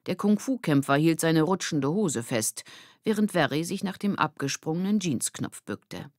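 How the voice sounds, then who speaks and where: A woman narrates a children's story in standard German. Her voice sits low for a woman. The sound is dry, with barely any room on it. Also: sing-song, normal speed, a little mellow, no echo. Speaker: audiobook narrator.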